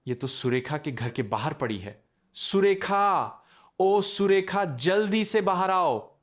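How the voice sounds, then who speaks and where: A man as a high-pitched, alarmed neighbor. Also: expressive, takes it slowly. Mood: alarmed, summoning. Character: alarmed neighbor calling out to a household. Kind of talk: animated moral-story dialogue.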